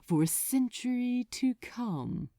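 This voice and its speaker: A woman voicing a commanding male demon lord in an audiobook, solemn and grandiose, with a hissing, softly alluring delivery. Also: fairly high pitch, very animated, slow pace, no room sound, studio quality, voice pushed up, full character voice. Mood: disgusted menace.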